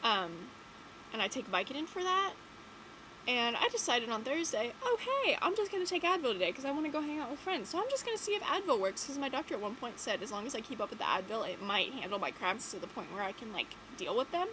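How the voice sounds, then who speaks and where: A woman's voice: fairly high pitch, big pitch swings, quick pace. A teenage girl, chatting casually in a vlog, rambling with lots of "like" and "um", her nose a bit stuffy from a cold. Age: late teens. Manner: rambling, many "like" and "um" fillers. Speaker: vlogger. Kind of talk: casual chat to camera. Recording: audible background noise.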